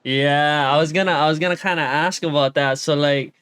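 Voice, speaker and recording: A young man from Hawaii hosts a casual podcast chat, easygoing and quick to laugh along. His voice is smooth and a touch high, caught dry and close on the mic. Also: fairly even intonation, a bit bright, no room sound. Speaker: podcast host.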